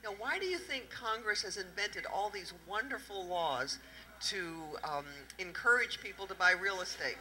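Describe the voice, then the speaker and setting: A middle-aged woman with a Southern accent talks taxes to a live audience, her voice very bright and sharp. She keeps asking the room questions and plays off the answers. She holds a handheld microphone in an indoor event room. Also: medium pitch, moderate pace, low-quality recording. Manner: lively, quizzing the audience with questions. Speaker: tax accountant presenting at a panel. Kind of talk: interactive talk to a live audience.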